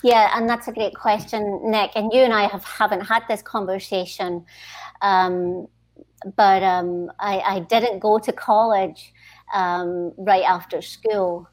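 A woman's voice: mid-range voice, level tone, a little mellow. Scottish woman, thoughtful interview guest. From Scotland. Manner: thoughtful, frequent "um" pauses and repeated starts. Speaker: podcast guest, HR leadership consultant. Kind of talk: conversational interview.

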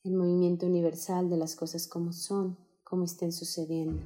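A woman leading a guided meditation in a calm, soothing, unhurried manner, giving gentle instructions with pauses. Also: on the low side.

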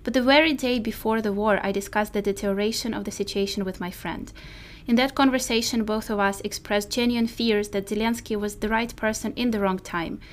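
A woman's voice: mid-range voice, fairly even intonation, brisk. A woman from Ukraine co-hosting a podcast, speaking in a scripted narration style. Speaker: podcast co-host.

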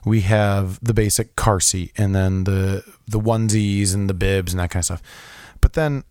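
A young man co-hosting a casual podcast chat, his voice low and a little hoarse from being sick, rambling with lots of restarts and fillers. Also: fairly even intonation, moderate pace, a little mellow, no room sound, clean studio sound. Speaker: podcast co-host.

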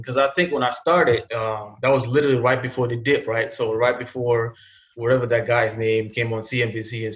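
South sudanese guy in his 30s, arabic first language, chatty and loose, roomy echo. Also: mid-range voice. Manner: relaxed, chatty, many fillers and restarts. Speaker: guest investor. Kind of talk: casual interview.

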